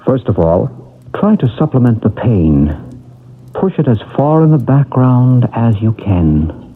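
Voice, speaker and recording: Calm regression expert, husky. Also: male voice, mid-range voice. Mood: reassuring. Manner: soothing, measured and hypnotic. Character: calm male past-life regression expert. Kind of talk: 1970s radio drama acting. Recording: tinny old radio broadcast.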